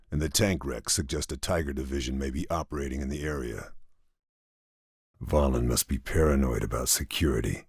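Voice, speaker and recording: A man voicing a calm, methodical wartime sniper in a video game cutscene, speaking in low, clipped, measured tones. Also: breathy edge, no echo, studio quality, exaggerated voice. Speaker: sniper protagonist.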